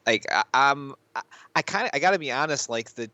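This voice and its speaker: A man's voice: medium pitch, animated, fairly quick, bright, forceful tone, no echo, dull audio. A man co-hosting a casual podcast about films, hesitant and repeating himself as he thinks aloud. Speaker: podcast co-host.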